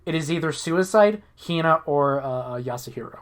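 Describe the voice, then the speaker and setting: A man's voice: on the high side, lively intonation, moderate pace, slight background noise. A man hosting a playthrough, moving between narration and casual, sweary banter. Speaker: host reading a game aloud.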